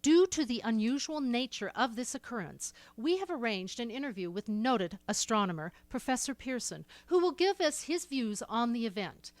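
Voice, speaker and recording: A radio play newsreader played by a woman with a fairly high voice. The bulletins come in a formal, measured vintage broadcast style, on a dry recording. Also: lively intonation, no echo, natural voice. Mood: formal, composed. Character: formal female radio news bulletin announcer. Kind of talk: 1930s radio bulletin announcing.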